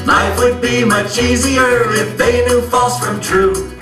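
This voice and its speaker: Man playing Dale, a high-voiced righteous singer. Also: fairly even intonation, slow pace. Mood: self-righteous singing. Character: earnest, self-righteous male ministry singer named Dale. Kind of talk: satirical stage announcing and singing. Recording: echoey live venue, distant, music behind.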